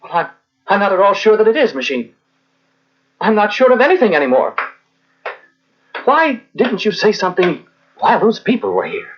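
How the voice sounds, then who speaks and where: A man's voice: high-pitched, very animated, moderate pace, long pauses, soft background music. An actor playing a meek, resigned man who hesitates and stammers, in an old-time radio drama. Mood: forlorn, wistful. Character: meek, flustered, resigned man befriending a machine. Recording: muffled old radio recording from cassette.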